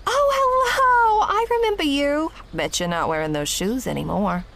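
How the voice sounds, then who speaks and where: A woman's voice: sits high, animated, normal speed, gravelly, a bit bright, a little hiss or hum, heavily acted. A woman playing a nosy café waitress in an audio drama, with a singsong customer-service delivery. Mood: chirpy, teasing.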